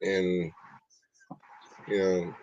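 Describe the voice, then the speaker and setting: A man's voice: deep voice, level tone, drawn-out words, darkish tone. A man in his fifties chatting casually, on a dull recording. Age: 50s. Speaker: beekeeper, livestream guest. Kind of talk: casual chat. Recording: dull lo-fi audio, background noise.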